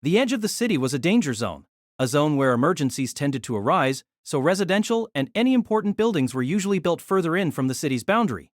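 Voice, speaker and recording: A man narrating a novel aloud in an expressive storytelling manner. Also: fairly high pitch, fast, no echo, clean studio sound.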